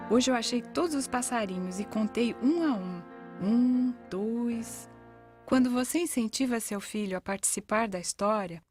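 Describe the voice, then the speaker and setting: Higher-pitched Brazilian woman narrating over music. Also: darkish tone. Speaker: narrator of an educational parenting video. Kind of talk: scripted voice-over narration, reading testimonials aloud.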